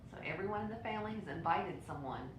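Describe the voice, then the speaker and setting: Echoey, lower-voiced woman reading a storybook. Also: quick pace. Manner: expressive, with brief asides to listeners. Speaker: storybook reader. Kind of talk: children's picture book read-aloud. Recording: roomy echo, clear low rumbling noise.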